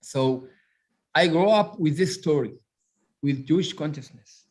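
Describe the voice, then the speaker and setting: A middle-aged rabbi and author from Ethiopia, speaking with an Ethiopian accent, tells his life story in an interview, haltingly and with many fillers as he searches for words. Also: fairly high pitch, a little mellow.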